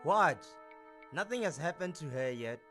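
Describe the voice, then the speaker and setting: A man's voice: a little high, animated, unhurried. A man playing a stern, moralizing listener. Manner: firm, moralizing remarks. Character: stern male listener who judges the stubborn girl. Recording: music playing underneath. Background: music.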